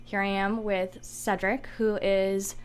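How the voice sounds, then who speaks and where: A woman's voice: medium pitch, level tone, takes it slowly, clear, resonant tone. A woman interviewing a guest, asking straightforward questions and answering with brief affirmations.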